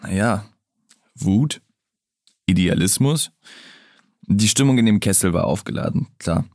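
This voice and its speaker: Audio drama: a detached, cynical photojournalist, clipped delivery, fairly low, close dry recording. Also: male voice, lively intonation, a little slow, a little mellow, dry recording. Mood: cool, detached. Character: cool, cynical male photojournalist being questioned.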